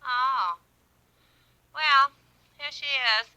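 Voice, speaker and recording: Shrill, high brassy housewife. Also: female voice, animated, drawn-out words, bright, forceful tone, heavily acted, rough recording. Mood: bossy. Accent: American. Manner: shrill, drawling, folksy. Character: brassy, no-nonsense housewife on the telephone. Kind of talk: 1940s radio acting.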